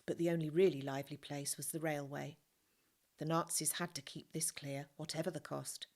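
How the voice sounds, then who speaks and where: Woman reading a book out loud, low voice, bit of gravel in it. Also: moderate pace. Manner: steady expressive storybook reading. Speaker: reader. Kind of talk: reading a novel aloud.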